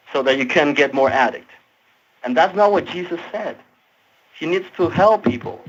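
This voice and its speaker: A man's voice: fairly high pitch, a bit bright. A Colombian man in his twenties, a native Spanish speaker with a Colombian accent, earnestly arguing a point and often repeating words as he searches for phrasing. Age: 20s. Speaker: tech support agent. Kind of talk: impassioned persuasive argument on a support call. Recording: dull lo-fi call audio.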